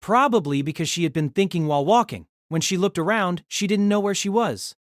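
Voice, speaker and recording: A woman's voice: lowish voice, a little fast, no echo, studio quality. A woman narrating a scripted novel as a dramatic storyteller.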